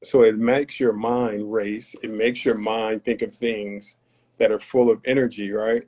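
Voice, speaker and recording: Guy on a podcast, low mellow voice, kinda preachy and deep-thinking. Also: flat delivery, unhurried. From Tarboro, North Carolina. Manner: earnest, philosophical, preachy. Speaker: podcast guest. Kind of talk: reflective conversational talk.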